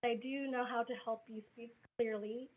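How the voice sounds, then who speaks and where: A woman's voice: on the high side, fairly even intonation, normal speed. A woman in her forties from the American Midwest, giving a candid, conversational self-introduction as a business coach on a video call. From grew up in the US Midwest. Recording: tinny phone-like video call line with faint noise.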